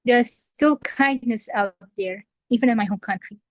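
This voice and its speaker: A woman's voice: a little high, moderate pace, no echo, rough recording. A young woman from Kuwait giving an earnest impromptu speech that addresses the audience directly. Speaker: impromptu speaker. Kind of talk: impromptu table topics speech.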